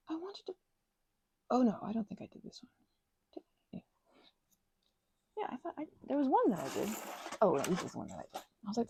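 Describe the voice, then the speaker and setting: A woman chatting casually as she works on crafts at her table, slipping between soft whispers and louder bursts, teasing herself as she goes. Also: high-pitched, creaky, a little hiss or hum, low-quality recording. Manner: chatty, self-deprecating, drifting between whispers and bursts of talk. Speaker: crafting ASMR creator. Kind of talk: rambling craft chat while working.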